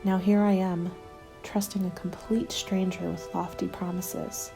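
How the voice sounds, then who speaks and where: Woman as a hushed, creaky-voiced lone apocalypse survivor. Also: on the low side, little pitch movement. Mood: guarded, reflective. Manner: hushed, breathy, measured delivery. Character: weary, guarded lone female survivor journaling a zombie apocalypse. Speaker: narrator of an audio roleplay. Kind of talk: ASMR scripted first-person diary narration. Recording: music laid under the voice.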